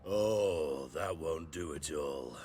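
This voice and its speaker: A man's voice: rumbling low, slow pace, darkish tone. An actor playing a crude, scoffing rustic henchman in a video game, with coarse, ungrammatical country speech. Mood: dismayed. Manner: coarse, rustic nonstandard grammar. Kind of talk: dramatic game acting.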